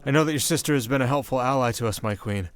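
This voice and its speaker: A man's voice: mid-range voice, rapid-fire, no room sound, lightly acted. A man voicing a loyal, tireless scout with an informal, breezy manner in a fantasy audio roleplay. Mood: breezy, then concerned. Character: loyal, casual, tireless male scout reporting to his king.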